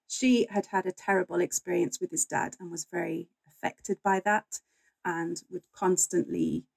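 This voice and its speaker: Woman historian with a creaky edge. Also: mid-range voice, a little mellow, no echo, poor audio. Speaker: guest author and historian. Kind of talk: conversational history interview.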